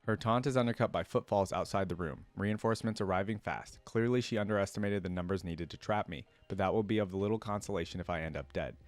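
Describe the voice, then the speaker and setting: A man's voice: deep voice, fast, hardly any pauses, no room sound. A man hosting a reaction video, reading a story aloud and adding casual, conversational fan commentary.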